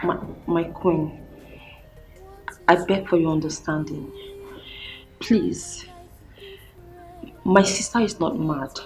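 A woman with a Nigerian accent playing a humble, earnest young woman in a film drama, pleading in a halting, deferential way. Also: low voice, fairly even intonation, music in the background, rough recording.

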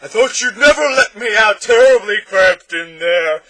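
Huge hysterical smog creature from a storybook, way high and bellowing, husky and over-emotional. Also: male voice, animated, measured pace, poor audio. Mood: bellowing glee. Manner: roaring, laughing, easily upset.

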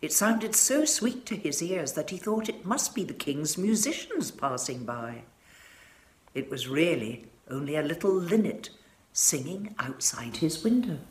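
A woman in her sixties tells a children's story at an unhurried pace. Her delivery is dramatic and measured, with pitch that rises and falls widely. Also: big pitch swings. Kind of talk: expressive reading of a children's story. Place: furnished living room, indoors.

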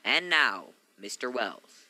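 A man's voice: mid-range voice, very animated, unhurried, sharp tone, dry recording, full character voice. A man playing a polished radio announcer, with formal, promotional delivery in an old 1940s advertising style. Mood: upbeat, formal. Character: polished radio announcer selling a sponsor's drink.

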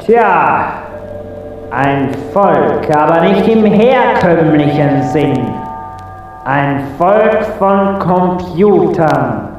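A man's voice: a little high, expressive, far from the mic, dull audio. A man playing a haughty, pompous ruler of the underworld in a radio play, with heavy added reverb. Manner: pompous, commanding, drawn-out. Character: haughty great master ruling the underworld. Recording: echoing 1980 vinyl recording, loud music.

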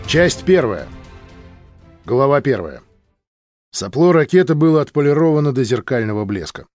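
A man narrating an audiobook from a script in measured, clearly enunciated phrases. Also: mid-range voice, very animated, darkish tone, faint music behind.

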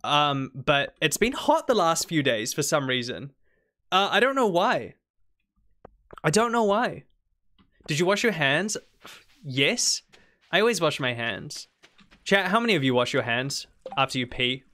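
Playful high-voiced New streamer. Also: male voice, sing-song, measured pace, long pauses, sharp tone. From New Zealand. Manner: playful, jumps to high squeaky protests when teased. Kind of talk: casual game stream chat.

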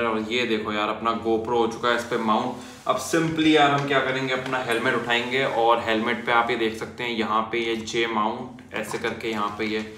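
Young male vlogger, bright casual delivery, echoey small room. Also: mid-range voice, a little hiss or hum. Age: young adult. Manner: chatty, addresses viewers as bhai and yaar. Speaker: motovlogger. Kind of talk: casual product demo. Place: small indoor room at a desk.

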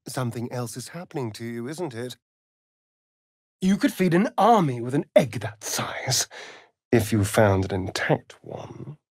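A man's voice: mid-range voice, no room sound, studio quality, heavily acted. A male actor with an English accent voicing a sardonic, seductive, vain vampire rogue in a video game, with an arch, flamboyant drawl. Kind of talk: theatrical video game dialogue.

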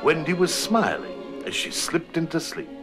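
Lively high-pitched male storybook narrator. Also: normal speed, over music. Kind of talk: scripted children's story narration.

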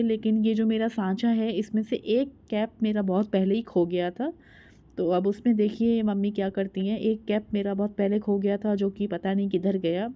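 A woman's voice: mid-range voice, highs cut off. A woman in her thirties from India, narrating her home vlog in a voice-over, chatty and explaining each step with frequent filler sounds. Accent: Hindi. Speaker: vlogger.

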